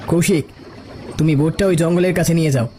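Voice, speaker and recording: A man's voice: fairly high pitch, quick pace, dark tone, a little hiss or hum, voice pushed up, close to an everyday voice. A man playing a stubborn, commanding police officer who gives curt orders in a cartoon story. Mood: stern resolve.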